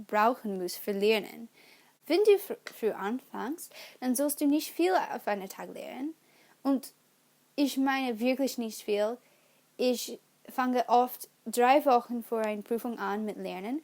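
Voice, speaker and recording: A young woman whose first language is English, speaking German with an English accent as she hosts her own study-tips channel, halting often to correct herself. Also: fairly high pitch, darkish tone. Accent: English-speaking learner of German. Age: young adult. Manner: slow and halting, with pauses and self-corrections. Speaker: student channel host. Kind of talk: casual study-tips vlog.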